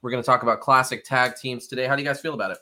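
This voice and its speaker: A man's voice: mid-range voice, fairly even intonation, very fast, bright, forceful tone. A man co-hosting a casual podcast, upbeat and jokey, often laughing as he talks. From Rhode Island, USA. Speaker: podcast co-host.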